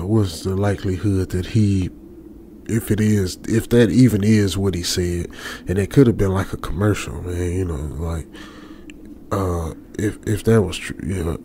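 A man's voice: deep voice, steady tone, unhurried, mellow. A man with an American accent playing an anxious young trucker, muttering nervously to himself in a radio drama. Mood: self-reassuring. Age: young adult. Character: anxious young male trucker stranded alone. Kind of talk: ASMR radio drama monologue. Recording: faint background noise.

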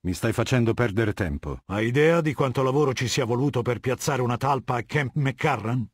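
An actor voicing a cold, haughty middle-aged warlord dictator in a video game, lecturing in a measured, commanding and disdainful way. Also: male voice, mid-range voice, lively intonation, slightly rough, dry recording, studio quality. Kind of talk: video game character dialogue.

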